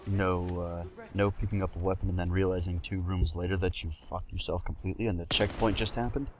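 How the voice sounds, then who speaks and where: A man's voice: sits low, fairly even intonation, brisk. A man co-commentating casually on a video game with short, informal remarks. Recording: noisy game sound and clicks.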